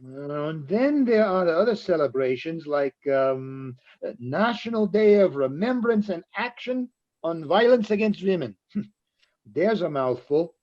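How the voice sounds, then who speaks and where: A man's voice: on the high side, expressive, measured pace, a little mellow. A man reading the part of an agreeable, chatty friend in an amateur radio play, searching for words, on slightly dull audio. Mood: chatty, informative.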